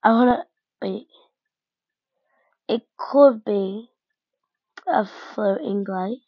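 Female gaming vlogger, slow and gravelly, hesitant think-aloud delivery, lo-fi close mic. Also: mid-range voice, no echo. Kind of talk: casual game commentary. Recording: dull lo-fi home mic.

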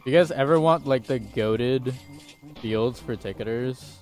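A man's voice: mid-range voice, unhurried, a little mellow. A man in his twenties chatting casually on a game livestream through a headset mic, rambling and laughing at himself. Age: 20s. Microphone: headset mic at desk.

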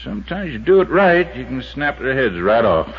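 A man's voice: medium pitch, sing-song, normal speed, slightly rough. An actor playing a cheerful, folksy American resort handyman in a 1950s radio drama, talking in plain colloquial phrases. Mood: calm, wry. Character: Buster, cheerful, folksy, sharp-eyed male resort handyman. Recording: muffled, noisy 1950s radio recording.

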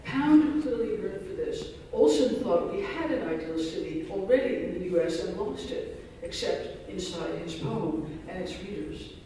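A man's voice: sits high, expressive, unhurried, hardly any pauses, mellow, a little hiss or hum. A man giving an academic keynote, reading a scholarly paper with dense phrasing and dry self-deprecating asides. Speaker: keynote speaker. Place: large lecture hall. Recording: echoey hall recorded from far off.

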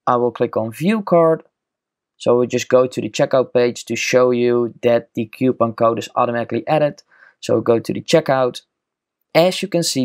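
Gravelly-voiced man explaining a software walkthrough. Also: medium pitch. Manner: steady step-by-step explaining while clicking. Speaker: software tutorial presenter. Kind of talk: screen-recorded tutorial walkthrough.

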